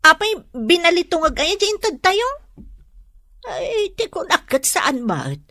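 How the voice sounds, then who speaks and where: An actress playing a gossiping neighbor wife in an Ilocano radio drama, with an Ilocano accent. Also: female voice, very high-pitched, sing-song, unhurried, sharp tone, no room sound, clean studio sound, heavily acted.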